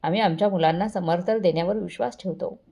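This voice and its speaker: A woman's voice: lowish voice, fast, vocal fry, dark tone. A woman voicing a boastful, condescending wealthy mother-in-law with showy, patronizing politeness, in a family story. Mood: smug.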